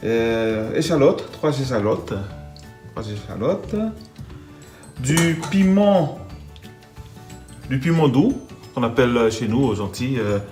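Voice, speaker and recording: A man's voice: medium pitch, darkish tone. A man from the Netherlands with a Dutch accent, speaking French, talking through a home cooking video with many hesitations and restarts. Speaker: home cook.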